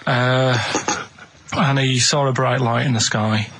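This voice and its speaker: A man's voice: mid-range voice, steady tone, takes it slowly, a bit bright, rough recording. A man tells rambling, colloquial stories in an artless way as a co-host of a radio chat show. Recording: radio broadcast with clear background noise.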